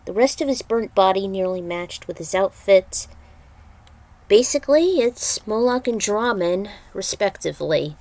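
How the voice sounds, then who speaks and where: A woman's voice: mid-range voice, animated, unhurried, darkish tone. A woman narrating a fan fiction story informally, with side remarks.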